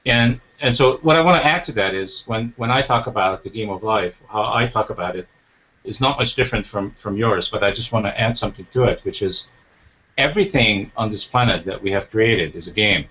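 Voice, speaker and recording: A man's voice: on the low side, bright, forceful tone. Man, talk show host, reflective, rhetorical questions, dull lo-fi sound. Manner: reflective, uses rhetorical questions, halting restarts. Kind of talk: interview conversation. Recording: dull lo-fi recording.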